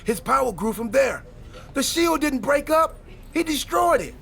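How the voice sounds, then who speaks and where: A man playing an excitable, goofy time-travelling wrestler in a video game cutscene, wisecracking through dramatic exposition. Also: very high voice, unhurried, gravelly, heavily acted. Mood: dramatic, ominous.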